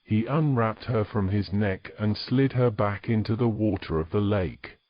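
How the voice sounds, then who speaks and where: A man's voice: on the low side, unhurried, no room sound, muffled recording. A man reading scripted fantasy romance narration as a storyteller.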